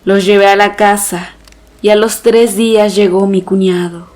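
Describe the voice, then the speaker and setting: A woman reading a scripted first-person horror story as its narrator, in a measured storytelling delivery. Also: medium pitch, fairly even intonation, a little mellow.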